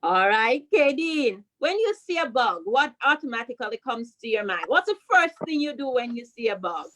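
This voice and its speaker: A woman's voice: on the high side, sing-song, moderate pace, pushed and bright. A woman, a school principal leading a question-and-answer chat with children, prompting them and repeating questions and answers back.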